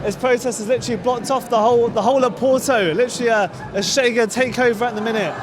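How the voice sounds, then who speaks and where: A man's voice: very high-pitched, steady tone, quick pace. A man hosting on location, interviewing people and commentating to camera outdoors on a city street. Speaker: host. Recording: busy outdoor street, crowd and music behind. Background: crowd noise and music.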